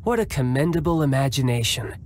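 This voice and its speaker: A man's voice: mid-range voice, lively intonation, fairly quick, faint music behind, lightly acted. Man playing a smug suave young fighter. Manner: smooth, mocking, politely condescending. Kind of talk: video game cutscene voice acting.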